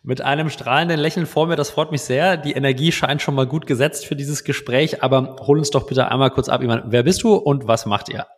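An upbeat man hosts a business podcast from a studio. He reads his intro and asks questions in a lively way, mixing English business terms into his speech. The room is dry and close, with no echo. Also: medium pitch, brisk, few pauses. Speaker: podcast host. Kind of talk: casual interview with a scripted intro.

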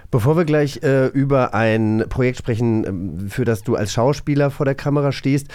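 A man hosts a relaxed interview in a very dry recording with no room sound. His pitch is average, and he builds long questions, rephrasing himself along the way. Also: moderate pace, no room sound. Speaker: podcast host, interviewer.

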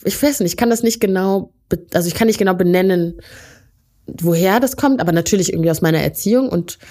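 A woman from Rwanda, co-hosting a relaxed bedtime podcast chat and telling stories from her youth. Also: on the low side, fast talker. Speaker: podcast co-host.

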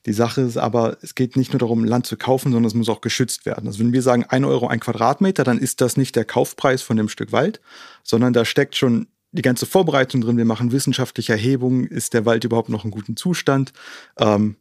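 A man's voice: medium pitch, fairly even intonation, fast, a little mellow, dry recording, studio quality. A man from Germany explaining things in detail as an interview guest, often checking in with a short tag question. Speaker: conservation organisation co-founder, interview guest.

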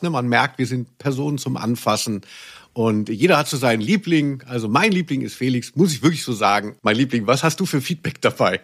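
A man's voice: medium pitch, a bit bright. A man co-hosting a podcast with casual, teasing, mock-serious banter. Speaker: podcast co-host.

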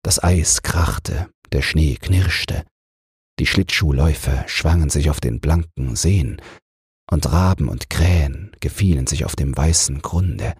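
Man, bedtime audiobook narrator, deep, very husky, calm, unhurried, dry sound. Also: no echo. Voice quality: husky, breathy. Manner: calm, measured. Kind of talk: slow bedtime fairy tale narration.

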